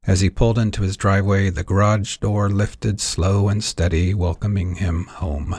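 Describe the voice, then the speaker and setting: A man narrates a piece of fiction in a scripted audiobook style. His voice sits low, with a husky, slightly rough grain. The sound is dry, with hardly any room in it. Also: flat delivery, moderate pace, darkish tone, no room sound. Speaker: narrator, author reading own story.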